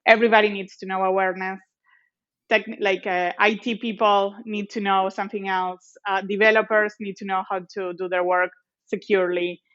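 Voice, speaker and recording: A woman from Argentina with an Argentine accent, giving measured, explanatory interview answers as a guest. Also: mid-range voice, little pitch movement, fairly bright tone. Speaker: guest, company executive. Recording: slightly dull lo-fi audio.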